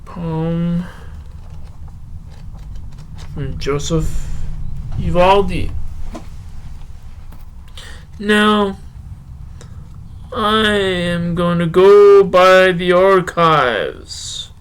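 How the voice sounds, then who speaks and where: Slow-talking earnest male podcast poet played by a man: high pitch, halting, drawn-out recital. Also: fairly bright tone, clean tone, full character voice. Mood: slow, rambling. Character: Slow Mo Joe, slow, dim, earnest male poetry show host. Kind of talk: halting poetry recital and rambling podcast talk.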